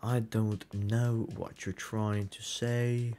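A man's voice: on the low side, level tone, takes it slowly, mellow. A young man streaming a game live, reading chat aloud and answering it with incredulous, laughing banter.

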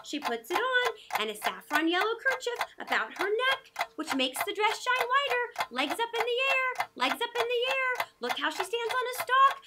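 A woman's voice: very high voice, big pitch swings, moderate pace, few pauses, very noisy, pitched above the performer's own voice. A woman voicing a vain, self-admiring storybook narcissus with boastful, sing-song repetition. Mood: vain, excited.

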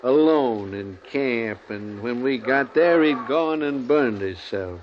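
An American actor playing an evasive, impatient buffalo hunter in a 1950s Western radio drama, curt and folksy. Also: male voice, medium pitch, expressive, slow. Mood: evasive, defensive. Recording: dull, noisy old radio recording.

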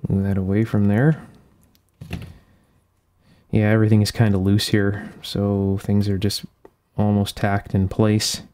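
A man's voice: lowish voice, completely flat delivery, unhurried, pauses often, warm tone, smooth. A man talking through a hands-on repair step by step, unscripted, methodically thinking aloud at an indoor workbench. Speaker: host of an electronics repair channel. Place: indoor workshop bench.

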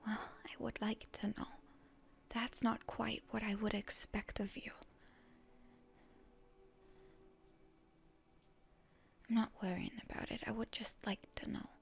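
A woman playing a proud, prickly, wounded hero girl in a whispered ASMR roleplay, suspicious and defiant toward her enemy, with a hushed, breathy voice. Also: mid-range voice, flat delivery, moderate pace, close to an everyday voice. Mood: wary, puzzled.

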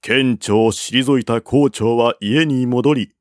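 A man narrates a historical novel in a formal storytelling style. His pace is slow and measured, his pitch is mid-range. The recording is dry, with no room sound. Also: fairly even intonation, no room sound. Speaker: narrator, audiobook-style reader.

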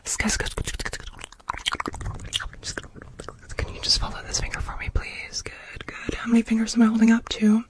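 A woman's voice: a little high, completely flat delivery, normal speed, pauses often. A woman performing ASMR personal attention, repeating short words and phrases in a rhythmic way. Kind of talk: whispered ASMR personal attention role-play.

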